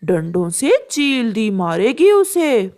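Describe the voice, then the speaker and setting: Woman voicing a slow, scheming male cartoon pigeon. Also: fairly high pitch, very animated, darkish tone. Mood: sly, coaxing. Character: clever scheming male pigeon, smooth-talking cartoon bird. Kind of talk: animated children's moral story voice acting.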